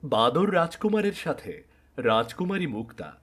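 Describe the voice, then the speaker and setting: Male narrator for a children's fairy tale, scripted storytelling with lively melody. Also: fairly high pitch, normal speed, noisy background.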